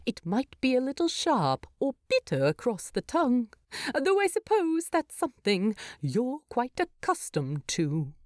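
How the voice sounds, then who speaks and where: A woman acts out a wry hobbit gentleman in a storybook. His polite words carry sarcasm, and his pitch swoops about. The sound is very dry. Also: wildly swooping pitch, measured pace, no room sound, exaggerated voice. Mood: snarky. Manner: arch, wry, snarky. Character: polite but sarcastic, snarky male hobbit.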